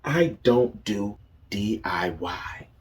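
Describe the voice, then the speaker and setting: A man's voice: on the low side, lively intonation, drawn-out words, slightly rough, exaggerated voice. A man voicing a prim female barnyard bird in a picture book, genteel and dismissive.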